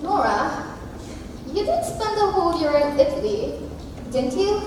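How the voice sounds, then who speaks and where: A woman on a school stage playing a poor, proud and cautious widow, with formal, measured delivery. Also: extremely high pitch, expressive. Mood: polite, interested. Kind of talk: school stage play acting. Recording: echoey hall, distant mic, faint noise.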